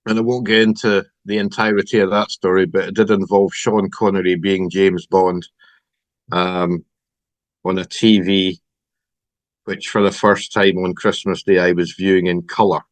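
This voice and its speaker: Scottish man, middle-aged, low voice, dry sound, reflective, halting, lots of ums. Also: level tone, no room sound. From Scotland. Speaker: podcast guest. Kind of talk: personal recovery story.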